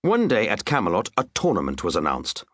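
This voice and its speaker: Guy reading a funny kids story, deepish voice, really up and down, dry little asides. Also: huge pitch swings, brisk, a bit bright, dry recording. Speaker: audiobook narrator. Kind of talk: scripted comic children's story narration.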